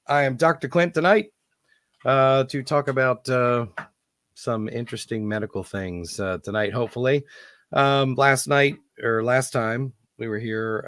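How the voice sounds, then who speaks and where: A man hosting a live online chat, hoarse from losing his voice and talking in a rambling, halting way. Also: mid-range voice, fairly bright tone, no echo, clean studio sound. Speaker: host. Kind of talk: casual online hangout chat. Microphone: computer mic on a live video call.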